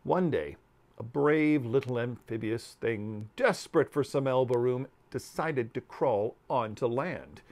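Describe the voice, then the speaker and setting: A man in his fifties giving a dramatic storybook reading, deliberate and expressive with dramatic pauses. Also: mid-range voice. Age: 50s. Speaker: author reading aloud. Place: book-lined room at home.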